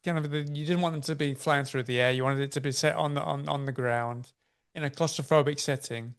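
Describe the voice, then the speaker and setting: A man's voice: mid-range voice, clear, resonant tone. A man hosting an interview, asking questions eagerly and agreeing often. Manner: eager, frequent agreeing backchannels. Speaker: interview host.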